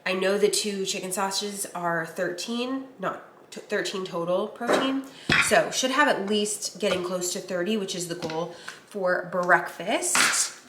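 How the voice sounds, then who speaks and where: A woman's voice: medium pitch, a little slow, noisy background. A young woman with a slightly nasal voice from a recent cold, chatting casually in a rambling vlog. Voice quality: a little nasal. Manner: chatty, rambling, lots of fillers. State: recovering from a cold. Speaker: lifestyle vlogger.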